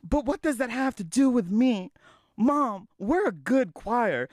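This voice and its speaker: Man playing a pleading, high-voiced teenage daughter. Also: lively intonation, measured pace, dry recording, studio quality. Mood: pleading, hopeful. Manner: whiny, earnest pleading. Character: pleading teenage daughter who wants to sing.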